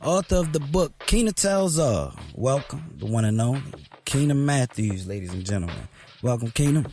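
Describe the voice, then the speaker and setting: A man playing an enthusiastic, teasing local radio host in an audio drama, with an informal, slangy, halting delivery. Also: medium pitch, animated, mellow, slight background noise, natural voice. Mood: welcoming, showy.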